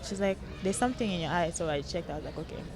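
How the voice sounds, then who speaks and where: A young woman from Cameroon, a podcast guest in casual chat, bubbly and quick to laugh. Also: lowish voice, lively intonation, noisy background.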